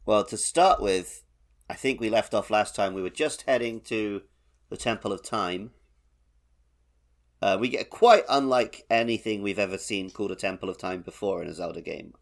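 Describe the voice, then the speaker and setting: Male podcast co-host, fairly low voice, conversational and informal, discussing a game. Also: normal speed. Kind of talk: casual podcast chat about a video game.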